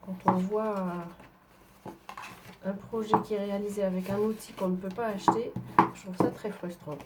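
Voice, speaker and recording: A woman's voice: medium pitch, little pitch movement, normal speed, heavy background noise. A woman from northern France with a head cold, chatting through a papercraft tutorial as she works, with frequent hesitations. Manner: chatty, explains while working, frequent fillers. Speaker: papercraft demonstrator. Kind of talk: craft tutorial.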